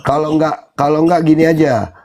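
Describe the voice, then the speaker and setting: A man's voice: on the high side, steady tone, fairly quick, a loud crowd. A man hosts an informal live online debate, speaking in a blunt, challenging way. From Indonesia.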